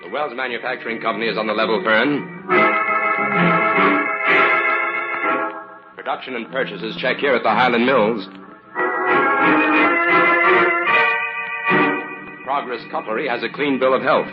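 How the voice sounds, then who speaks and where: An actor playing a calm, shrewd crime investigator in an old-time radio drama, explaining things crisply and confidently. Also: male voice, mid-range voice, fast, over loud music, lightly acted. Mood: confident, businesslike. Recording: muffled, hissy old radio recording.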